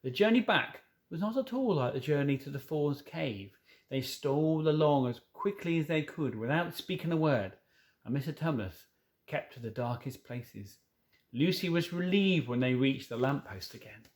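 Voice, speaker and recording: A man narrating a children's story as a reader, somewhat haltingly, correcting himself now and then. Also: a little high, lively intonation. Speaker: audiobook reader.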